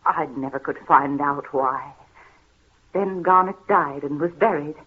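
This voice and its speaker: An actress playing a frightened, tormented heiress in a 1930s gothic radio mystery, with halting, dramatic delivery. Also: female voice, low-pitched, slightly rough. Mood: anguished, confessing. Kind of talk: 1930s old time radio acting. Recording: muffled, scratchy 1930s radio recording.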